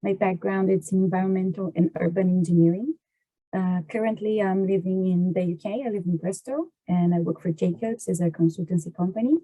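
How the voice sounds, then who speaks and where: A woman with a Brazilian Portuguese accent speaks in a very soft-edged, dark and slightly low voice, recorded dry and close. She explains things as an interview guest, pausing often to find her words. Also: steady tone, no room sound, rough recording. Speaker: podcast guest, water resources engineer.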